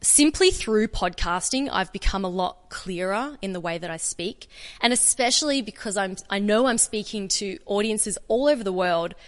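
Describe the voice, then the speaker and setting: An Australian woman giving an energetic, chatty conference talk and answering questions. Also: mid-range voice, moderate pace, big-room sound. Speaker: conference speaker. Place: conference room.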